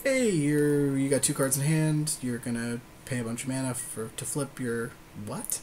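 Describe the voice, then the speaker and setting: A man's voice: mid-range voice, moderate pace. Male gaming co-host, mid-range voice, slangy and conversational, reacting to plays, faint noise in the recording. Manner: slangy, conversational, often agreeing in short replies. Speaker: podcast co-host. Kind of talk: casual game commentary.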